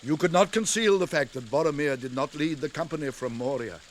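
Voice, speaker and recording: An actor playing a wise, stern old wizard in British fantasy radio drama, with measured, weighty, archaic speech. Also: male voice, fairly high pitch, a little hiss or hum, lightly acted. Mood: kindly, cautioning.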